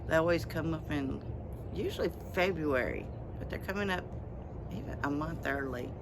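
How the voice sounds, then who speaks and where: A vlogger with a slightly scratchy voice, chatting casually outdoors in a rambling way with pauses. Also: medium pitch. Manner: rambling, pausing, unhurried. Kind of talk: casual outdoor chat to viewers. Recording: outdoor noise, passing vehicles.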